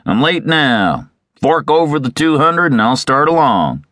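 Man voicing a blustering Western moneylender, wildly varied pitch. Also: sing-song, a little slow, dry recording, clean studio sound. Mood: impatient. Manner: demanding, blustering, thundering. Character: gruff, gloomy, hot-tempered male moneylender in a Western. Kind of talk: Western audiobook character.

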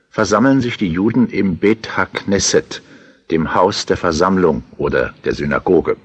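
A man's voice: low voice, husky. A man giving earnest, instructive scripted narration for an audiobook.

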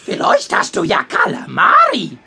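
An actor voicing an excitable, muddle-headed owl in a children's audio drama, exaggerated and naive. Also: sits high, unhurried, gravelly, heavy background noise, heavily acted. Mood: naive, eager. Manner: exaggerated, naive, know-it-all.

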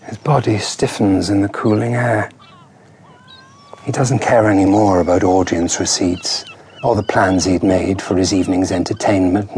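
Male actor as somber reflective man, radio drama, measured delivery, lowish pitch, noticeable background noise. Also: a little mellow, natural voice. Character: somber reflective male friend narrating a murder scene. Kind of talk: radio drama acting, intimate narration.

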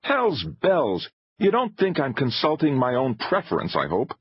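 A man's voice: medium pitch, big pitch swings, a little slow, slightly rough, no echo, muffled recording, pitched above the performer's own voice, full character voice. A man voicing an impatient, domineering film-making adventurer in his thirties, emphatic and insistent, in audiobook dialogue. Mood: exasperated, insistent. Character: impatient, domineering thirty-five-year-old male adventurer film-maker.

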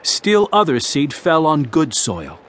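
An actor playing an impassioned male teacher preaching parables in a dramatized audio Bible, with declamatory, deliberate phrasing. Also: fairly high pitch, wildly swooping pitch, fairly bright tone, full character voice. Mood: proclaiming, storytelling.

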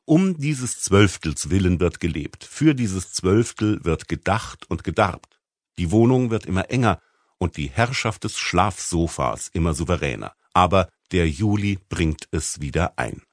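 Deep-voiced man narrating with expressive range. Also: a little slow, dry recording, studio quality. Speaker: audiobook narrator. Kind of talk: scripted literary narration.

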